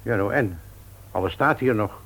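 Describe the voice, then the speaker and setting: Husky, fussy older uncle. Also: male voice, lowish voice, lively intonation, fairly bright tone. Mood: stern, concerned. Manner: halting, muttering repetitions, paternal. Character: older uncle, fussy senior bookseller, sceptical mentor. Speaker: radio drama actor. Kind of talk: Dutch radio play acting. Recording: radio drama recording.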